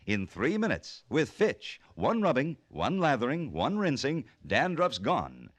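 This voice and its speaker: Animated American radio announcer, dry sound. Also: male voice, mid-range voice, a little slow, no echo. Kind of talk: 1950s scripted radio announcing and ad read.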